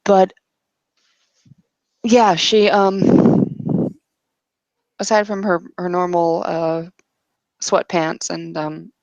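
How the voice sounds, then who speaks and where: Woman, casual game player, halting narration, low creaky voice, dry sound. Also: level tone, darkish tone, no room sound, dull audio. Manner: halting, thinking aloud.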